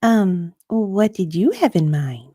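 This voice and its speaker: A woman's voice: medium pitch, sing-song, slow, dark tone, dry recording, studio quality, voice pushed up. A woman voicing a flustered, hesitant young woman in a romance story reading. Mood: nervous, hesitant.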